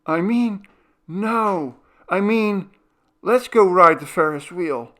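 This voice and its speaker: Man voicing an anxious, high-pitched young woman. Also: lively intonation, a bit bright, full character voice. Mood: anxious pleading. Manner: urgent, halting. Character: anxious pleading young woman, protective sister.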